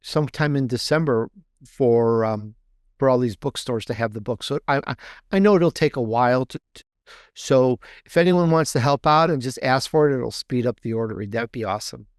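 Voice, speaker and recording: A man's voice: medium pitch, moderate pace, clean tone, dry recording, studio quality. A man speaking as a guest author in a conversational interview, explaining things in an enthusiastic, rambling way. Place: video interview with book backdrop.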